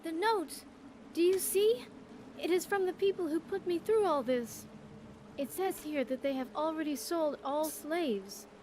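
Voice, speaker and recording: A woman's voice: very high voice, a little slow, warm tone, slight background noise. A woman playing an earnest, grateful young survivor companion in a video game, speaking in careful, formal phrases. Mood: urgent, pleading. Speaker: companion character in a game mod. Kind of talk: scripted game dialogue.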